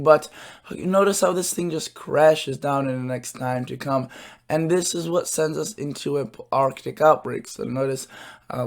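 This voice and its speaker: A man hosting his weather channel, his voice a bit hoarse, explaining forecast charts in a casual, halting way with lots of filler words. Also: mid-range voice.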